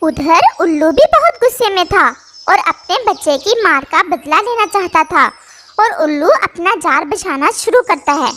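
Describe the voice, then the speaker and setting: Kids story narrator, really high bright voice, scripted reading, bit of background noise. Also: lively intonation, moderate pace.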